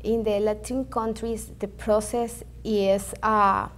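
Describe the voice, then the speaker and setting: A woman from Venezuela with a Venezuelan Spanish accent, answering interview questions carefully and haltingly. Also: mid-range voice, level tone. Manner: careful, halting, with fillers and restarts. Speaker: real estate agent guest.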